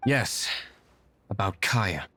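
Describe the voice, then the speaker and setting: A man's voice: fairly low pitch, animated, slow pace, bright, forceful tone, soft background music, full character voice. An actor playing a stern, aloof young nobleman in a video game, speaking in a formal, reserved, terse way. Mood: pensive, guarded. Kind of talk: video game character acting.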